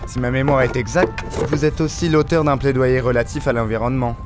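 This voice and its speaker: A man's voice: mid-range voice, fairly even intonation, brisk, natural voice. An actor playing a cryptic, erudite male recluse in an audio drama, theatrical and condescending, over prominent music. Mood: knowing. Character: cryptic, erudite male deep-sea recluse, scornful of surface dwellers. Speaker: reclusive expert character. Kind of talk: French audio fiction acting.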